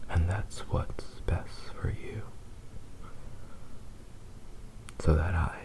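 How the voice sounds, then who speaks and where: A man playing a possessive, devoted young fox spirit lover in a breathy, soothing whispered ASMR roleplay. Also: low voice, dark tone, rough recording. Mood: possessive, soft. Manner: slow, tender, soothing, intimate.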